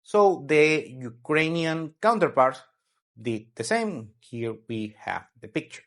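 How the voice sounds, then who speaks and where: Slow-talking man giving news commentary. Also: a little high, lively intonation. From Venezuela. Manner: halting, searching for words as he explains. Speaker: host.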